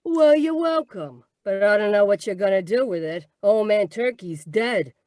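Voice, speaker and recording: A woman's voice: mid-range voice, expressive, normal speed, darkish tone, dry recording, clean studio sound, close to an everyday voice. An actress playing a brassy, blunt, slangy woman in a radio mystery, offhand and given to hollering. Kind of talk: radio comedy-mystery acting. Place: live remote performance over video call.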